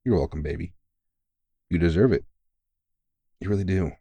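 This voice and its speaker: A man's voice: deep voice, normal speed, creaky, warm tone, husky, dry recording, full character voice. A man playing a tired, kindly veteran vampire hunter who mentors a rookie in an informal, teasing, reassuring way, in an ASMR comfort roleplay. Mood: encouraging, fatherly. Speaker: voice actor in audio roleplay.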